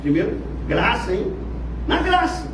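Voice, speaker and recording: A Brazilian man with a Brazilian Portuguese accent preaching a fervent sermon, dropping to low murmurs and rising to shouts. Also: wildly swooping pitch, a little slow. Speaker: pastor. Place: church. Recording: echoey, distant, noisy church hall.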